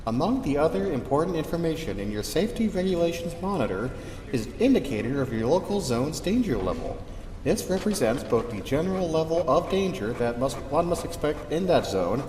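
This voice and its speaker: A man's voice: a little high, a little mellow. A man playing a matter-of-fact, dryly wry official who formally and methodically reads out safety regulations in a radio drama. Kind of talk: scripted instructional narration. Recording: echoey reverberant sound, faint noise.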